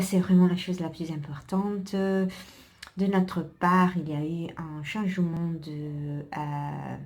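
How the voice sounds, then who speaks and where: A woman's voice: low voice, a little mellow. An Italian woman, a native Italian speaker, hosting her own podcast in casual, hesitant chat full of fillers, restarts and small laughs. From Italy. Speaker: podcast host.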